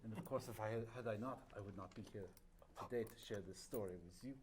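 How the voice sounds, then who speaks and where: A man's voice: lowish voice, quick pace, noisy background, natural voice. A man playing a courtly storyteller who speaks in a formal, old-fashioned way.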